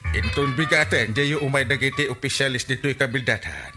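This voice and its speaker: A man with an Ilocano accent playing a vain, comical, excitable village captain in a radio comedy drama, exclaiming in an exaggerated way. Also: medium pitch, brisk, over music, lightly acted. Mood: jovial, boastful.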